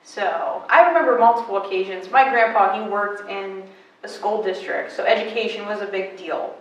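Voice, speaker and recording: A woman in her twenties from western Pennsylvania, telling stories about her life in a casual interview, chatty and animated with many fillers. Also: medium pitch, moderate pace. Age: 20s. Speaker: guest. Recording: echoey room, mic at a distance.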